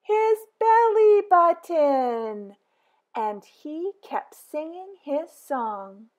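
A woman reading a children's picture book aloud in a slow, sing-song way, with big excited exclamations. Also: high voice, muffled recording. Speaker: storybook reader.

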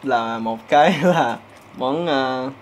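A young man chatting casually at home in a terse, low-key way. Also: slow pace. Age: young adult. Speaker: vlogger's husband. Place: small apartment, kitchen and dining table. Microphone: camera across the room. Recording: household noise, clattering dishes.

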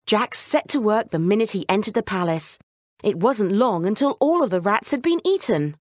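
Woman narrator for children's bedtime stories: expressive, animated pitch, dry sound. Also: normal speed, no echo. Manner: expressive storytelling. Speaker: storyteller.

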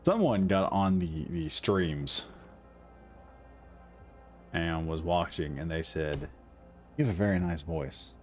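A man's voice: deep voice, animated, takes it slowly, long pauses. A man streaming a building game, rambling casually and talking himself through each step as he builds. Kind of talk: casual gameplay commentary. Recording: slightly dull audio with faint music.

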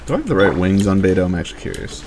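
A man's voice: low-pitched, very animated, rapid-fire, darkish tone, a little hiss or hum. A young man doing casual, offhand live commentary as he streams a game. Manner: offhand, chatting over the game.

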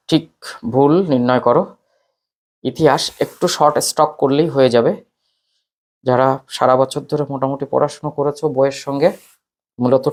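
A man's voice: medium pitch, darkish tone. Man guiding students informally. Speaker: teacher presenting an exam paper to students. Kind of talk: informal exam paper walkthrough for students.

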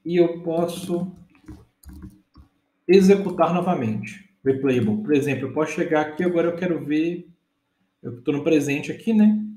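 A man's voice: on the high side, animated, moderate pace, mellow, roomy sound. A man in his thirties with a Brazilian Portuguese accent, teaching a casual data engineering lesson step by step and often checking that his listeners follow.

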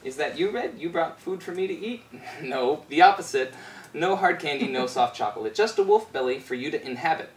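A man's voice: on the high side, expressive, fairly quick. A man in his twenties performing a rhyming spoken-word fairy tale with a playful, rhythmic delivery. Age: 20s. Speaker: storyteller performer. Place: small bare indoor room. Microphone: far camera microphone. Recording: roomy, distant camera mic with background noise.

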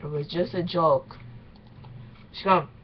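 A young woman doing a casual reaction vlog in halting, exclaiming, informal English. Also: low-pitched, fairly even intonation, husky. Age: young adult. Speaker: reaction video maker. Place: small bedroom, indoors. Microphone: webcam at arm's length. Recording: dull lo-fi webcam sound, faint noise.

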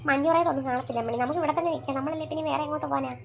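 A woman as a soft, patient mother. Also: high-pitched, fairly even intonation, very fast, creaky, loud music behind the voice, pitched below the performer's own voice. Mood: reassuring.

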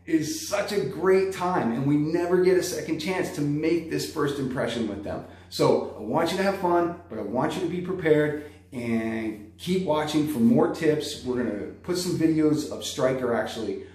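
A man's voice: on the high side, lively intonation, normal speed, darkish tone. A man in his thirties, a dog trainer, giving practical tips in a vlog and checking in with "okay?" after each point. He talks straight to the camera from an indoor room. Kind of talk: instructional vlog. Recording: very echoey room.

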